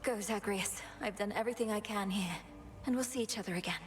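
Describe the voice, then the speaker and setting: An actress playing a determined, fierce young sorceress princess in a video game, speaking in formal, archaic, earnest phrases. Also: female voice, mid-range voice, fast talker, soft background music, natural voice. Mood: calm resolve. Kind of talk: dramatic video game acting.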